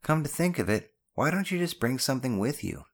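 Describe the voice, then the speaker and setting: Man voicing a gently stern, caring mother, pitched up. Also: big pitch swings, fairly quick. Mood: warm, caring. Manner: chiding but affectionate. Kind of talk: audiobook character dialogue.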